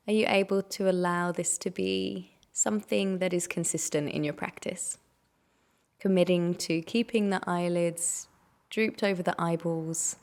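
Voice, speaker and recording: A woman leading a guided meditation, giving gentle, unhurried instructions and soft invitations. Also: on the low side.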